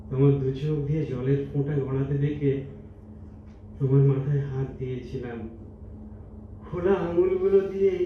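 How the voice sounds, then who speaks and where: A man's voice: fairly high pitch, natural voice. A man playing a tender, reflective old retired teacher in an audio drama, speaking poetically and wistfully. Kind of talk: Bengali stage audio drama. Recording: muffled, echoey hall from far off, noisy.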